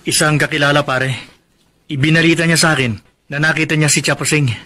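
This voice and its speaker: An actor playing a protective, stubborn young husband in a Filipino radio drama, speaking earnestly and firmly. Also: male voice, a little high, steady tone, a little fast, pushed and bright. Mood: relieved, guarded. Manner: earnest, firm.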